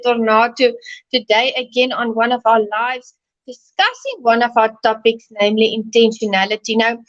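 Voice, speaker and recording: High-voiced South African woman on a video call. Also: fairly bright tone. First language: Afrikaans. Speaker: guest co-presenter. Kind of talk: explanatory talk. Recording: dull lo-fi video call audio.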